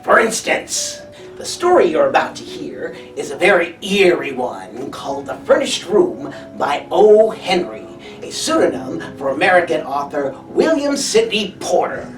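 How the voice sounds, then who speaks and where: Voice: medium pitch, sing-song, unhurried. A performer playing a theatrical horror host who formally introduces eerie classic tales. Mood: eerie, inviting. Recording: roomy, distant sound over music.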